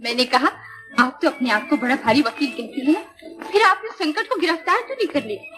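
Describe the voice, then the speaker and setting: An actress playing a bold, spirited young daughter, assertive and teasing, in 1950s Hindi film style. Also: female voice, high-pitched, expressive, fairly quick. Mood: teasing, commanding. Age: young adult. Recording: old 1950s film soundtrack with music.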